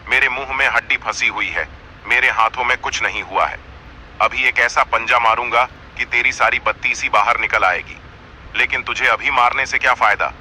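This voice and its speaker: A man's voice: medium pitch, bright, forceful tone, a little hiss or hum, voice pushed down, poor audio. A man voicing a proud lion king of the jungle, by turns imploring and imperious, in a cartoon fable. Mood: angry, threatening.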